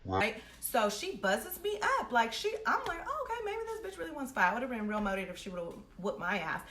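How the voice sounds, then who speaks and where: Brash young woman storyteller, bright echoey voice. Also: a little high, sing-song, fairly quick. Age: young adult. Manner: brash, slangy and heavily profane; acts out both sides of quoted exchanges. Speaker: host of a storytime series. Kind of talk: animated personal storytelling, casual. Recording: roomy echo with faint background noise.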